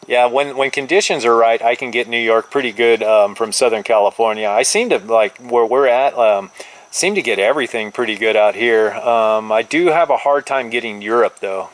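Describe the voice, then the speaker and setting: A man's voice: medium pitch, normal speed, low-quality recording. A middle-aged man chatting casually as a radio operator, using laid-back radio lingo, heard over a two-way radio.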